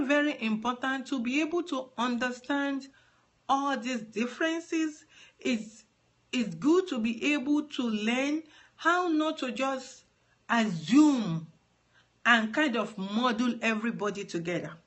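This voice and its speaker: High-voiced Nigerian woman explaining earnestly. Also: animated, measured pace, a bit bright. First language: Yoruba. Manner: earnest, explanatory, repeats words for emphasis. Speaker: advocate for trafficking survivors. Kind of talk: live-streamed talk to followers. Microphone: phone.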